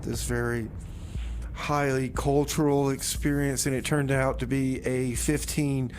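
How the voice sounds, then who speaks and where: Male community radio host, medium pitch, casual and folksy, halting rambles, faint background noise. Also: little pitch movement. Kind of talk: casual rambling music-show DJ talk.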